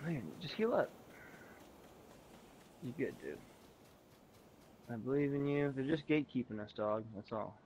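A man talking a teammate through a game, calm, upbeat and reassuring. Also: medium pitch, unhurried, long pauses, highs cut off, slight background noise. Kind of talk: live gameplay chat.

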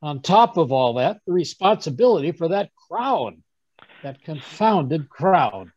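A man playing a genial, fussy older English gentleman, chattily recounting his adventures in old-time radio drama style, with a husky voice. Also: fairly high pitch, big pitch swings, a little slow, no room sound, exaggerated voice. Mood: flustered, animated. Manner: chatty, chuckling, rambling storyteller with stammered asides. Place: home room on a group video call. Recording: dull, dry video-call audio.

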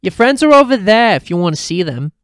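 A man voicing an excitable middle-aged cartoon mother, loud and bossy, with exuberant drawn-out singsong exclamations. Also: sits high, dry recording, studio quality, voice pushed up.

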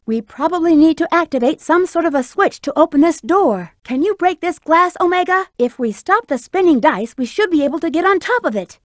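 A woman's voice: sits high, dry recording, clean studio sound. A woman voicing a sultry, sassy female bat treasure hunter in a video game, teasing, confident and flirtatious. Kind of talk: video game character voice acting.

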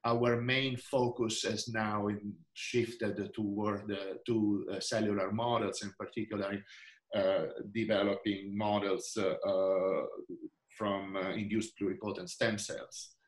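Older Italian-accented man with a low voice, halting over a video call in an echoey room. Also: little pitch movement. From Italy. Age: 60s. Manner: halting, many fillers and restarts. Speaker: researcher and physician. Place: indoor room.

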